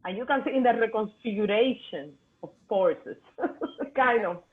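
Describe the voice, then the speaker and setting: A woman's voice: on the high side, measured pace. A woman from Cuba with a Cuban Spanish accent, a native Spanish speaker and podcast host, talking in emphatic, slightly broken English over a remote call. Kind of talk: casual conversation. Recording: tinny phone-like remote call audio.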